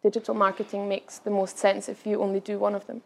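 A young woman with an Austrian German accent softened by Scottish touches answers questions at a live talk. She talks fast and chattily, stumbling into restarts and fillers, at an ordinary middle pitch. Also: monotone, creaky. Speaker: guest speaker.

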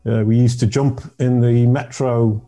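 A middle-aged man from Yorkshire with a deep voice, telling his life story as a podcast guest in a rambling, self-correcting way. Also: steady tone, unhurried, warm tone, faint music behind. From Yorkshire, England. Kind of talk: casual interview storytelling.

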